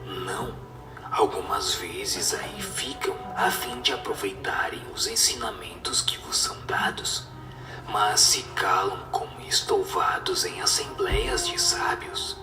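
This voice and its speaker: A man plays a superior spirit in a radio drama, solemn and instructive. The voice sits low and breathy, close to a whisper, and delivers its teachings with grave, measured weight. It sounds set back from the mic, with music clearly underneath. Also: echoey room, far from the mic.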